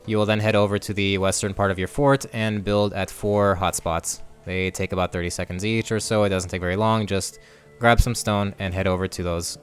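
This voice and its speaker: A man's voice: low voice, steady tone, fairly quick, clear, resonant tone. A man narrating a video game walkthrough as a voice-over, in a plain, step-by-step instructional way. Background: music under the voice.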